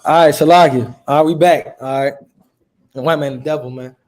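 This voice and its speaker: A man's voice: a little high, fairly even intonation, a little fast, a little mellow. A man teaching a livestreamed religious history class, reading passages aloud and preaching emphatically, often checking with "right?".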